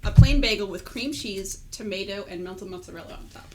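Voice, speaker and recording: A young woman chatting casually as a podcast guest, animated and quick to laugh. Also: medium pitch, slight background noise, poor audio.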